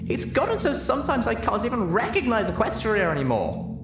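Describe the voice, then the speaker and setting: Woman playing a brash, high-voiced pegasus mare. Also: very animated, brisk, over music. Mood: indignant. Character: brash, indignant female blue pegasus pony. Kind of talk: audiobook character dialogue. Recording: roomy, distant microphone.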